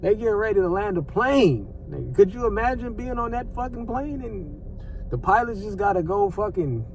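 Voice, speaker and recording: A man's voice: sits high, animated, moderate pace, highs cut off, a little hiss or hum. American man vlogging, a bit distant. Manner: conversational, repetitive, peppered with slang and swearing. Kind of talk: casual off-the-cuff news commentary.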